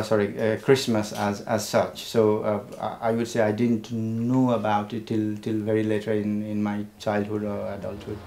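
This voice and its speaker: A man's voice: fairly low pitch, a little mellow, echoey room. A man in his forties from Nepal, speaking hesitantly with many fillers in a shop. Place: shop indoors.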